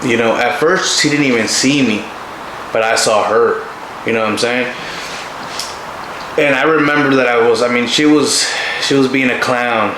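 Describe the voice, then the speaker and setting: Male vlogger, 20s, medium pitch, jokey slang-filled casual chat, roomy sound with clear background noise. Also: normal speed, pauses often. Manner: joking, slangy. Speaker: couple's channel co-host.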